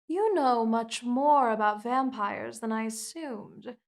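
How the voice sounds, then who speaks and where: A woman's voice: fairly high pitch, slow, vocal fry. A woman voicing a commanding, seductive female vampire queen in an ASMR hypnosis roleplay, speaking in a soothing, controlling way.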